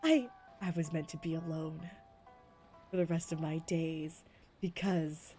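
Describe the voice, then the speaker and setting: A woman acts out an evasive man hiding a romance. The voice drops low and halting, guarded and melodramatic, as in a soap opera played over a video game. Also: steady tone, mellow, faint music behind. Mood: hurt, bitter.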